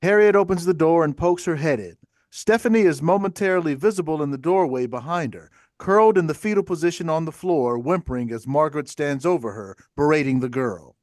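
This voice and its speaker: Dry-sounding, higher-pitched male stage-directions reader. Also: a little fast, a little mellow, no room sound. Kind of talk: scripted narration at a table read.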